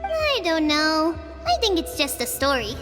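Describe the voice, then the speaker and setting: A woman's voice: high voice, huge pitch swings, measured pace, in a large hall, over music, heavily acted. A woman voicing a young girl of a tribe in a game, telling an old legend in an earnest, matter-of-fact way. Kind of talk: game dialogue voice acting.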